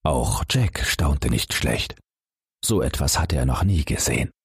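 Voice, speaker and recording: A man reading a fairy tale as a calm bedtime story, gently addressing young listeners. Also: a bass voice, unhurried, vocal fry, slight background noise. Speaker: audiobook narrator.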